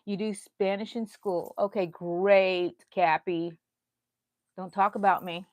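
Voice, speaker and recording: A woman's voice: medium pitch, fairly even intonation, takes it slowly, a little mellow, no room sound. A middle-aged woman from Houston, chatting loosely with her livestream viewers while she crochets and answers their comments. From Houston, Texas. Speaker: crochet channel host.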